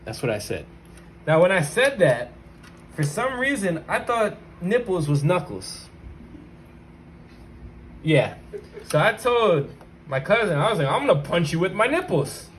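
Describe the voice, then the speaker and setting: A man's voice: high-pitched, big pitch swings, unhurried, long pauses, slight background noise. A man hosting his own online video, chatting informally and slangily straight to viewers as he explains things and tells stories. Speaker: YouTube vlogger and channel host. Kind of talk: casual hands-on tech tutorial with personal storytelling.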